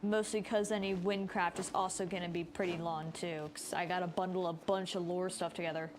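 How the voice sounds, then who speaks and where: A woman's voice: fairly low pitch, flat delivery, a little fast, noisy background. A woman giving casual game livestream commentary, informal and thinking aloud. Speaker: game streamer. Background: music.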